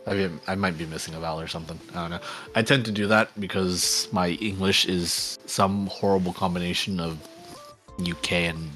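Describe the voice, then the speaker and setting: A man with a very deep voice chats as a guest on a casual streamed podcast over a desk mic. He teases dryly and explains things in quick, confident bursts, with faint music running underneath. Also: fairly even intonation, a bit bright. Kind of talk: casual chat.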